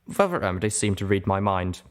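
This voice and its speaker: Man, lowish voice, expressive story narration, audiobook reading. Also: a little fast. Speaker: narrator reading a fan fiction story aloud.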